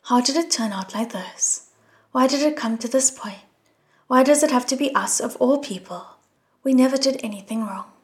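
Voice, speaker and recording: A woman's voice: sits high, moderate pace, close to an everyday voice. A woman voicing a wailing noblewoman clutching her child. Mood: distraught. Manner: wailing, then angry retorts.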